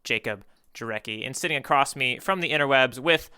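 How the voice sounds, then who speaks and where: A man co-hosting a podcast in casual chat, talking in an excitable, chatty way. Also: medium pitch, brisk, clean tone. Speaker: podcast co-host.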